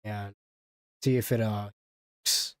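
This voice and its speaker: A man streaming games, chatting casually in a halting way, half muttering to himself. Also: on the low side, fairly even intonation, smooth, dry recording. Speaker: live streamer. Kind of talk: casual gaming livestream chat.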